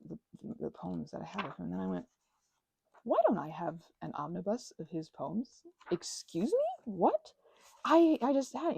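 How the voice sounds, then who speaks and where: A woman's voice: lowish voice, gravelly, dark tone, husky, no room sound, low-quality recording. A woman chatting casually to viewers in a rambling, effusive way, often repeating words for emphasis. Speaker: crafting vlogger and ASMR creator.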